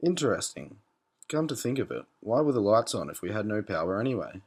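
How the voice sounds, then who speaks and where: A man's voice: on the low side, very animated, fairly quick, darkish tone, breathy edge, heavily acted. A man playing a naive, anxious and questioning spaceship captain in an educational cartoon. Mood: curious. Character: clueless, anxious male spaceship captain, curious learner. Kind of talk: scripted animated educational dialogue.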